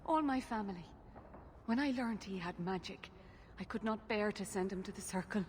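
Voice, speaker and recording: A woman's voice: medium pitch, moderate pace, a little hiss or hum, close to an everyday voice. An actress playing an anxious elven mother who pleads earnestly for help finding her son, in a game cutscene.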